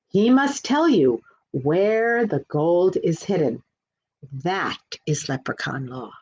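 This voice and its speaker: A woman's voice: medium pitch, highs cut off, a little hiss or hum. A woman working as a children's librarian, hosting a live storytime in a simple, encouraging way and speaking directly to young children.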